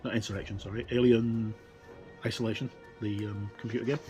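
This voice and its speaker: A middle-aged or older man giving a casual, unscripted look through a book as a tabletop game reviewer, in a rambling, hesitant way with many pauses. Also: fairly low pitch, flat delivery, a little mellow. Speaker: reviewer and presenter of tabletop games. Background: ambient music with wind sounds.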